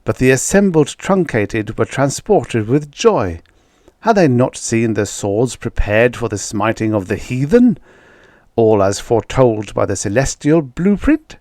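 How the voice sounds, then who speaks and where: A man reading vintage science fiction aloud as an audiobook narrator, in a measured, dramatic storytelling manner with emphatic stresses. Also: medium pitch, expressive, a little mellow, no echo, clean studio sound.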